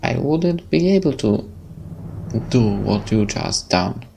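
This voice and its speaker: A man's voice: mid-range voice, animated, vocal fry, mellow, slight background noise. A man from Poland with a Polish accent, Polish as his first language, reading a visual novel aloud and chatting loosely as a let's play host. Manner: unhurried, loose, slightly broken phrasing.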